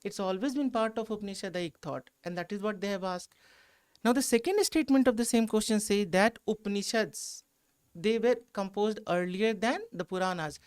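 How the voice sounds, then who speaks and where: A man's voice: sits high, moderate pace, smooth. A man with an Indian accent giving an explanatory, emphatic exam analysis as a teacher. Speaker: history teacher at exam coaching institute.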